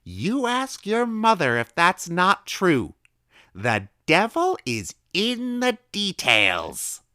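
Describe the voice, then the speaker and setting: Man doing a shrill eager old-maid recluse. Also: normal speed, clear, resonant tone, no room sound, clean studio sound. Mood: excited. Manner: loud, excitable, conspiratorial. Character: eccentric middle-aged woman recluse, eager and cryptic.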